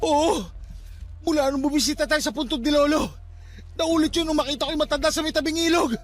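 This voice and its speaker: A man's voice: extremely high pitch, fairly even intonation, fairly quick. A man with a Filipino accent acting a terrified, haunted young man in a radio drama, frantic and insistent. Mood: panicked. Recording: music bed behind the voice.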